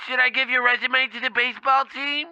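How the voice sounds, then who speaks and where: A clueless, tactless clerk played by a man in a radio comedy. His voice is high and piercingly bright, and he bumbles along haltingly and bluntly through slightly dull, lo-fi sound. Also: steady tone, creaky, clean tone, no room sound, exaggerated voice. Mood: breezily tactless. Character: clueless, tactless male temp agency clerk. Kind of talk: old-time radio comedy acting.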